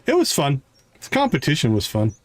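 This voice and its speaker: A man's voice: fairly high pitch, wildly swooping pitch, a little fast. A man casually commentating on a game he is playing live while chatting with his viewers.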